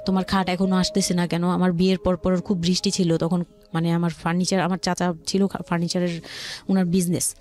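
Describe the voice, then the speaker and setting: A woman with a Dhaka Bangladeshi accent telling her life story as a guest, haltingly, often rephrasing as she explains. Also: fairly low pitch, little pitch movement, a little mellow. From Old Dhaka, Bangladesh. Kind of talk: personal storytelling in conversation. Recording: music playing behind the voice.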